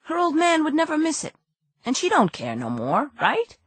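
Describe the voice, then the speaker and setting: A woman voicing a nervous, whining small-time male crook who pleads in rough grammar. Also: high voice, wildly swooping pitch, moderate pace, no echo, pitched above the performer's own voice. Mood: whiny pleading.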